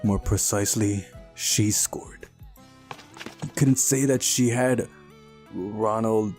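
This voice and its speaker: A man's voice: lowish voice, takes it slowly, a little mellow, soft background music. A man reading a visual novel aloud during a playthrough, narrating the story and adding casual asides of his own.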